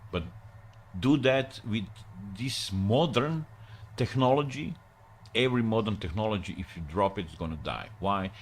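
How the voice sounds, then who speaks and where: A man from Croatia with a Croatian accent, chatting casually on a live stream in broken English, rambling with many pauses. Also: on the low side, a little hiss or hum. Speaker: livestreamer.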